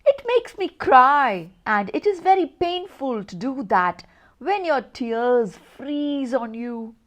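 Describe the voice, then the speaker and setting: A woman voicing a big, gloomy, easily alarmed storybook snowman with plaintive, drawn-out exclamations. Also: high-pitched, sing-song, exaggerated voice. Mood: plaintive, miserable.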